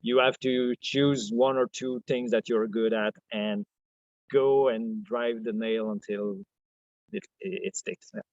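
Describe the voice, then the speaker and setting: A man with a French Canadian accent, a podcast guest talking in a soft, hesitant way, full of restarts and fillers. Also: medium pitch, slow, no echo, lo-fi sound. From Quebec, Canada. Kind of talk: casual interview chat.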